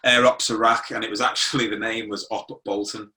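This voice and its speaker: A British man in his forties with a low-set voice and a bright, forceful edge. He tells his story informally, as a guest would in an interview, and sits a little way from the microphone. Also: level tone, a little fast, far from the mic. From born in Germany, moved to the UK at nine. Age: 40s. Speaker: interview guest, motivational speaker.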